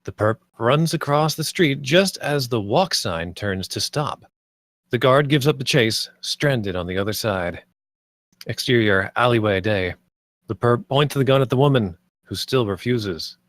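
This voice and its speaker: A man's voice: medium pitch, lively intonation, a little slow, no room sound. A man reading stage directions as scripted narration at a screenplay table read.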